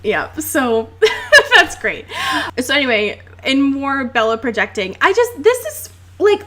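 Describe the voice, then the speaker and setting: A young woman co-hosting a podcast, casually retelling a book in a giggly, animated way and swearing freely. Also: high voice, unhurried, slight background noise. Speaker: podcast co-host. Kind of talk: casual comedic book discussion.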